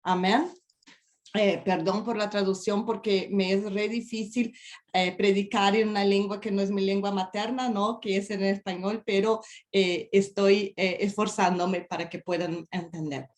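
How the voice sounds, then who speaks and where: A woman's voice: mid-range voice, fairly even intonation, unhurried, reverberant. A woman from Brazil with a Brazilian Portuguese accent, a native Portuguese speaker, preaching a sermon in a second language with careful, hesitant phrasing and emphatic questions.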